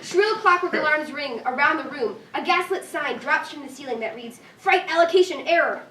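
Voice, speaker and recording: Woman narrator, slightly high voice, stage directions, roomy and distant recording. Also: expressive, fairly quick, sharp tone. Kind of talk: scripted narration at a staged reading. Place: live reading in a room. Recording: echoey room, distant mic, faint noise.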